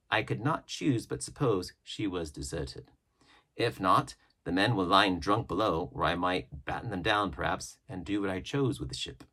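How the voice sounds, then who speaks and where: Expressive male storyteller with a husky voice. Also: mid-range voice. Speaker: audiobook reader. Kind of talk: expressive read-aloud of a classic adventure novel.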